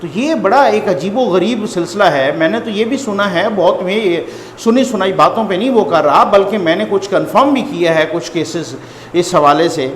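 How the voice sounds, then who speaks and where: High-voiced Pakistani man in an echoey room. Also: animated, normal speed. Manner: conversational, repeats phrases for emphasis. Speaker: host and political news commentator. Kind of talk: informal news commentary to viewers. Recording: echoey reverberant room, faint background noise.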